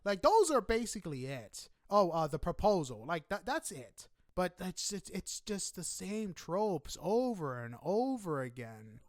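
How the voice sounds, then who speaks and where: A man's voice: high voice, very animated, a little slow, few pauses, no room sound, studio quality. A man hosting a podcast interview in a casual, chatty way, effusive and informal in his reactions.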